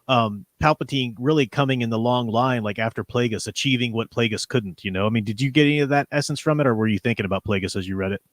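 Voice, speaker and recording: A man's voice: medium pitch, fast talker, few pauses, dry recording, studio quality. A man hosting a live stream, talking casually in an enthusiastic, opinionated, rambling way and reading out viewer comments.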